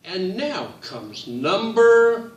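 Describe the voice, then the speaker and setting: Slow sing-song man reading to kids. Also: high voice, sing-song, roomy sound, recorded from a distance, lo-fi sound. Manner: slow, sing-song reading with drawn-out words, addresses children. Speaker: children's book author reading aloud. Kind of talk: read-aloud storytime for children.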